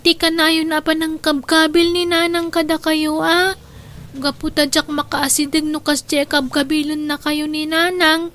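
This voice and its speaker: Worried young grandchild, played by a woman, very high voice, anxious and pleading, radio drama. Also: flat delivery, measured pace, a bit bright. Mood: upset, concerned.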